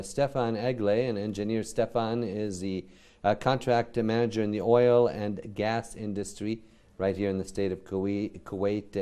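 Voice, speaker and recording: Man, TV host, studio interview, formal, polite, mellow warm tone. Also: fairly low pitch, smooth.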